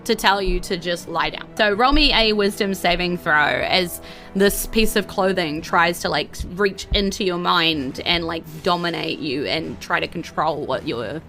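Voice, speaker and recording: A woman's voice: on the low side, unhurried, fairly bright tone, faint music behind. A woman casually narrating a tabletop game as game master in an informal, giggly way. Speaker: game master of a tabletop role-playing podcast.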